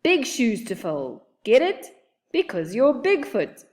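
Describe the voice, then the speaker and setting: A woman's voice: a little high, wildly swooping pitch, slow pace, a little mellow, in a large hall, voice pushed up, exaggerated voice. A woman voicing a jovial, encouraging bigfoot dad with a hearty, teasing manner.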